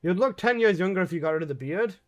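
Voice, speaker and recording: Lively, high-voiced young man streaming. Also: fast, muffled recording, faint music behind. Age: 20s. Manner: swearing, joking, reading out chat. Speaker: game streamer. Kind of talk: casual chat with live chat.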